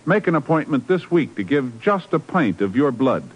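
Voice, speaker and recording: A man's voice: mid-range voice, sing-song, moderate pace, breathy edge. A man giving a formal, earnest scripted appeal as a 1950s radio announcer. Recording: muffled old radio recording.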